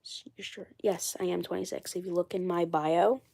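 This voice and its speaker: A woman's voice: fairly low pitch, steady tone, moderate pace, vocal fry, dark tone. Froggy-voiced young woman with a cold. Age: 20s. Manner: rambling, repeats herself, defensive. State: hoarse with a cold. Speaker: doll collector livestreaming. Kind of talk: casual livestream chat.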